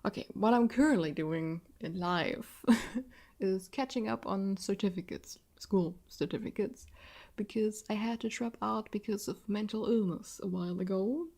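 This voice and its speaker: A woman's voice: mid-range voice, a little slow, creaky, darkish tone. A woman in her early twenties from southwest Germany, speaking English with a German accent, hosting a casual solo podcast and chatting in a self-deprecating way with frequent laughs. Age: 20s. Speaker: podcast host.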